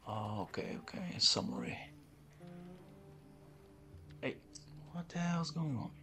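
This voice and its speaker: A man's voice: medium pitch, very animated, slow pace, vocal fry, sharp tone, faint music behind. A man hosting a gaming video, reacting casually in a laid-back, slangy way.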